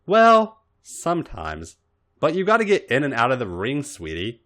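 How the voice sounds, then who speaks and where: Man as a worldly woman mentor, fond, coaxing, lively pitch swings, storytelling. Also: normal speed. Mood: coaxing, flustered. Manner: coaxing, uses pet names.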